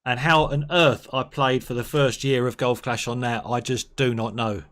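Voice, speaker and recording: A man's voice: mid-range voice, fairly even intonation, normal speed, a bit bright. A man hosting a casual game stream, chatty and jokey as he reminisces. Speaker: stream host.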